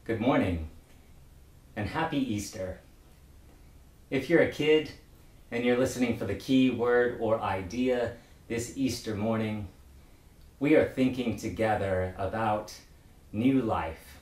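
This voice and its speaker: A middle-aged man, a pastor, delivers a prepared sermon in a measured, reflective way. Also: fairly low pitch, a little mellow. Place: book-lined room indoors. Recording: roomy echo, distant microphone.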